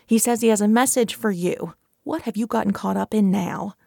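Creaky-voiced fretful mother played by a woman. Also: medium pitch, a little fast, a little mellow, no room sound, studio quality. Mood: worried. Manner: guilt-tripping, dramatic. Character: reproachful, fretful mother of a grown son. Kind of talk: audio drama dialogue. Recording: music behind the voice.

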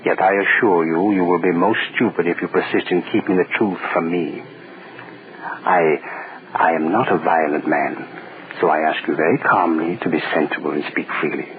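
An actor playing a pompous, menacing government official in a 1930s radio drama, curt and commanding. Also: male voice, deep voice, fairly even intonation, moderate pace, a crowd in the background, close to an everyday voice. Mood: coolly menacing. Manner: imperious, curt, barking orders. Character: pompous, menacing male state propaganda official. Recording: muffled 1930s radio recording.

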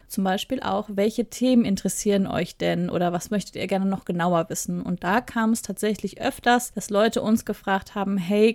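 A woman's voice: mid-range voice, a little mellow, dry recording, clean studio sound. A thirty-year-old woman from the Ruhr area, co-hosting a podcast in a chatty, enthusiastic way. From Ruhr area, Germany. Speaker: podcast co-host. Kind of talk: casual podcast chat.

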